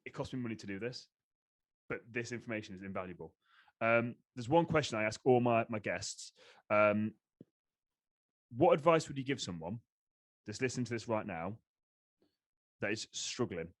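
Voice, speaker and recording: A man's voice: fairly low pitch, normal speed, long pauses. A man hosting a podcast, speaking with enthusiasm and swearing freely. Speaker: podcast host. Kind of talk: podcast conversation.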